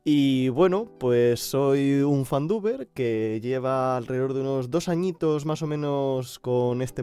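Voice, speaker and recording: Man chatting over soft music. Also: medium pitch. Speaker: fandub voice actor. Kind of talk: casual self-introduction to camera.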